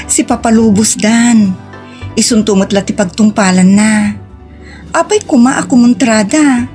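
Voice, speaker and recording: A woman's voice: on the high side, unhurried, pauses often, darkish tone, close to an everyday voice. A woman with a Filipino accent playing an emotional young woman in love in an audio drama, with a sweet, emotive delivery. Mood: hopeful. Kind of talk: romantic audio drama acting. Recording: music under the voice.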